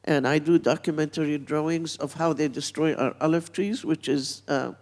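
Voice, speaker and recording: A woman's voice: sits low, steady tone, a little mellow. A woman in her eighties, from Palestine, speaking bluntly and reflectively as an artist on a panel. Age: 80s. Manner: blunt, reflective, unhurried, with pauses. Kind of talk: panel talk.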